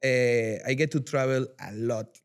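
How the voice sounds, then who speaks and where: Venezuelan man in his own talk, Spanish-native accent, smooth and clear, lively and rambling. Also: medium pitch, a little slow. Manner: animated, restarts and repeats words, tags sentences with "though". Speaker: guest, rum brand ambassador. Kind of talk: casual podcast interview. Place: lives in Miami.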